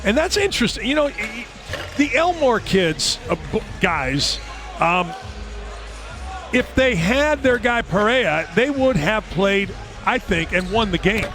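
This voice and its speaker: A man's voice: high voice, sing-song, a little slow, music in the background. A middle-aged man giving chatty, rambling color commentary on a basketball broadcast from a headset mic.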